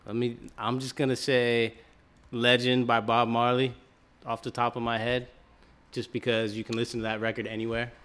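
Brief: laid-back male musician guest, smooth resonant voice. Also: medium pitch, monotone, normal speed, a bit bright.

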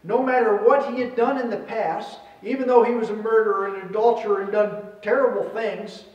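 A middle-aged man preaching a sermon as a pastor, with measured delivery in short phrases. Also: high voice, steady tone, muffled recording. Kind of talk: sermon with scripture reading. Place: indoors at a church pulpit. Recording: roomy echo, distant microphone.

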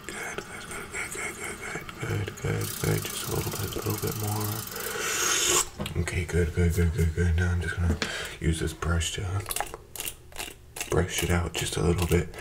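A young man in his twenties doing an ASMR barber roleplay, talking softly and slipping into whispers, with calm, repetitive, soothing chatter into a close microphone. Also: sits low, vocal fry, background noise. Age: 20s. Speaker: ASMR creator playing a barber. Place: bright indoor room with plants. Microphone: close desk microphone in front of him.

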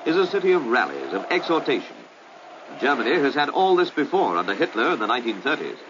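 A British man narrating a 1950s public information film in a formal, measured, emphatic way, on a muffled old film soundtrack. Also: mid-range voice, very animated, very noisy.

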